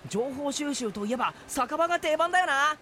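A woman's voice: high-pitched, expressive, fairly quick, heavy background noise. A woman playing a carefree, irresponsible young male samurai in a comedic audio drama, speaking in casual, boyish slang. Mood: easygoing, curious.

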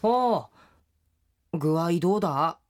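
Boyish rain spirit, lively pitch. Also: male voice, takes it slowly, slight background noise. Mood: breezy, friendly. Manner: casual, boyish, rough-and-friendly speech. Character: brash, friendly boy rain spirit, loyal playmate. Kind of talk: radio drama acting.

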